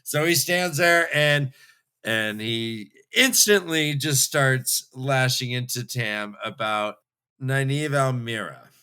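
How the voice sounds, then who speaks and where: A man co-hosting a book show, retelling a story in a casual, jokey, slangy and animated way. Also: mid-range voice, slow, clean tone. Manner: animated and slangy, acting out dialogue in bits. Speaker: co-host of a fantasy book re-read show.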